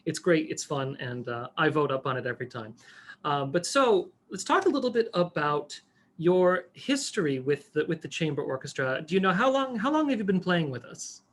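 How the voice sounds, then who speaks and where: A man's voice: fairly high pitch, animated, normal speed, a bit bright. A man hosting a casual, chatty interview in an enthusiastic, effusive way. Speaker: interview host. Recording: faint background noise.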